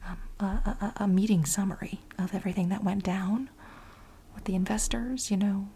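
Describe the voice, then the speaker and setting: Breathy whispering woman, soft and coaxing. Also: mid-range voice, steady tone. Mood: hesitant, ingratiating. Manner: halting, coaxing delivery with repeated phrases. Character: obsessive, fawning female lab assistant with a crush. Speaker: ASMR role-play performer. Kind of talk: soft-spoken whispered ASMR role play.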